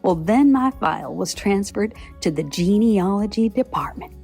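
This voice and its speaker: A chatty female application paper tells her own story, as a woman puts her on. She speaks in a cheerful, folksy way, full of friendly asides. The tone is mellow and warm. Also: medium pitch, animated, normal speed, gravelly, husky, soft background music. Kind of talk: scripted podcast narration.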